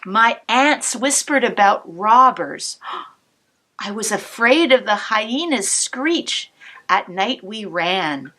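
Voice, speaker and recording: Cantor reading a picture book to kids; slow, sing-song delivery with lots of pitch movement. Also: female voice. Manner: warm, expressive storytelling with asides to the listener.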